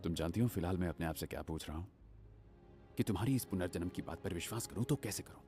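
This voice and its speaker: A man's voice: low voice, fast, dark tone, faint music behind, close to an everyday voice. A man dubbing a sarcastic, skeptical young man in a fan-made dub of an action film. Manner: sarcastic, wry. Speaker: dubbing actor.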